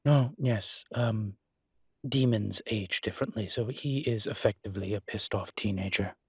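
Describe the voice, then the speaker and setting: A man's voice: on the low side, moderate pace, breathy edge, dry recording, clean studio sound. A man playing a refined incubus demon professor in an intimate audio roleplay, explaining things in a hesitant, genteel and slightly irritated but patient manner. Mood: exasperated, explaining.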